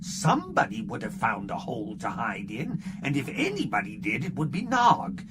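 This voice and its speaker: A man's voice: medium pitch, wildly swooping pitch, moderate pace. A man voicing a sly, greedy male Ferengi barkeeper who talks in a wheedling, sarcastic way. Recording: loud background noise.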